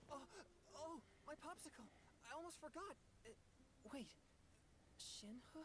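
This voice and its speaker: A young boy exorcist fumbles politely through his lines in a game trailer. The voice is fairly high and hesitant, with clear noise around it. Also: animated, unhurried. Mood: flustered. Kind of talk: scripted game trailer acting. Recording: noisy, distant game trailer playback.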